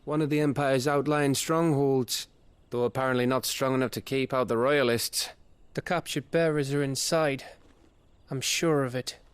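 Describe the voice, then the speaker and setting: A man's voice: mid-range voice, steady tone, moderate pace, clear, resonant tone, no room sound, close to an everyday voice. A man playing a cheeky, loyal young scout in a video game, with an informal, slightly grumbling delivery. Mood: earnest. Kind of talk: dramatic video game cutscene acting.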